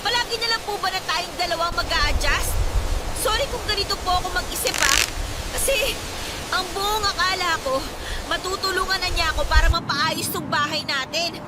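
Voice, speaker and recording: A woman's voice: extremely high pitch, normal speed, heavy background noise. A woman playing a frustrated, dutiful adult daughter in a radio drama, pleading and indignant yet respectful. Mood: hurt, frustrated. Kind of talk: Tagalog radio drama acting. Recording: dramatic music playing behind the voice.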